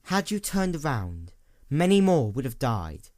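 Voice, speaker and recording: A man voicing an ancient, grieving female pony princess in a dramatic story, sorrowful, hushed and restrained. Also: medium pitch, big pitch swings, a little slow, no echo, studio quality. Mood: soft sorrow.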